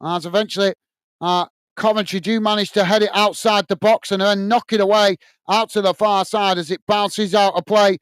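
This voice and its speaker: High-voiced British man calling a match. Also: moderate pace, clear, resonant tone, dry recording. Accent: British English. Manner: steady running commentary, talks to viewers as guys. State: voice tired and going hoarse. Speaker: fan channel match commentator. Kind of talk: live watch-along play-by-play commentary.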